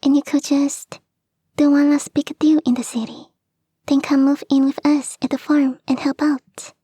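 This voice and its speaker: Woman voicing a high, whispery, clingy fox girl. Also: little pitch movement, moderate pace, dry recording, studio quality, close to an everyday voice. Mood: coaxing, insistent. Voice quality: soft, breathy, often whispering. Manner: coaxing, sweet, playfully insistent. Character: clingy young kitsune fox girl, eager to marry. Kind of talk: intimate ASMR roleplay addressed to the listener. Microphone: close, dry microphone.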